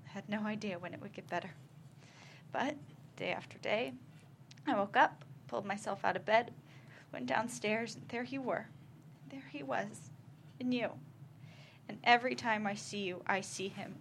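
A woman's voice: medium pitch, moderate pace, creaky, long pauses, a little hiss or hum, natural voice. A woman playing a tender widowed mother in a script table read. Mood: tender, wistful. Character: tender widowed mother remembering her late husband.

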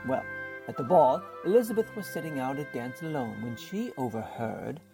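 Animated storytelling narrator with music. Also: mid-range voice, normal speed, vocal fry, low-quality recording. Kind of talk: scripted story narration for radio drama.

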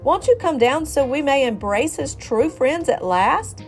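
A woman's voice: sits high, expressive, unhurried, faint music behind, voice pushed up. A woman voicing a cunning male fox who coaxes in an eager, falsely friendly way. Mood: coaxing.

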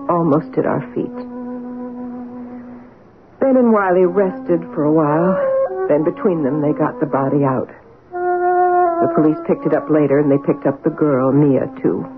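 A woman playing an earnest, perceptive wife who narrates and sleuths in a 1970s radio mystery, with clear phrasing. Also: low-pitched. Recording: noisy, narrow-band old radio recording.

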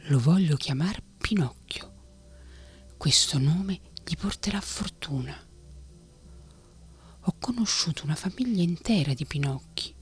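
A fretful old woodcarver murmuring in a hushed, airy whisper, as a woman puts him on. His voice sits low and moves slowly. It is a storybook father, quietly grumbling at his creation. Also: animated, husky, soft background music, exaggerated voice. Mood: musing.

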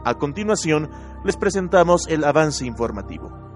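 A man hosting a radio program, introducing its segments in a formal, measured announcing style. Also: a little high, animated, soft background music. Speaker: radio show host.

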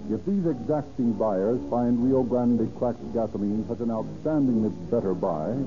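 A man's voice: medium pitch, normal speed, over music. An American man announcing in a formal, emphatic 1930s radio style, selling and narrating from a script. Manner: formal, emphatic salesman-like delivery. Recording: dull old radio recording.

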